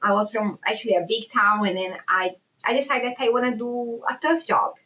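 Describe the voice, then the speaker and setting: A woman's voice: on the high side, fairly quick, sharp tone, low-quality recording. Brazilian-accented woman, chatty podcast guest. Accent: Brazilian Portuguese. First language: Portuguese. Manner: chatty, laughs easily, with hesitant restarts. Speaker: guest researcher. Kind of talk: casual interview conversation.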